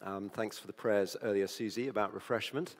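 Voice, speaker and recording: A man preaching with a hoarse, croaky voice. He sounds earnest and conversational, often correcting himself mid-sentence. His voice carries a long echo, as in a church hall. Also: lowish voice, steady tone, moderate pace. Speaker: preacher on the church leadership team. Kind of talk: sermon.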